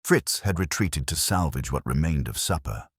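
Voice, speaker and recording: Male audiobook narrator for detective fiction, extremely low register, varied intonation, dry close sound. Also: expressive, normal speed, husky, no echo. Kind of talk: scripted first-person detective story narration.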